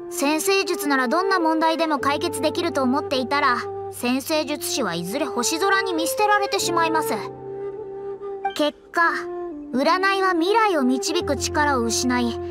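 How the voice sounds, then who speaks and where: A woman playing a proud young female astrologer in an anime game, formal, earnest and lecturing. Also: sits high.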